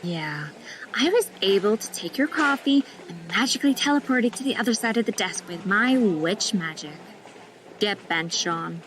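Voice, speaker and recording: A woman's voice: mid-range voice, expressive, moderate pace, a bit bright, slight background noise, lightly acted, rough recording. A woman playing a sarcastic, foul-mouthed nurse. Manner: sarcastic, blunt.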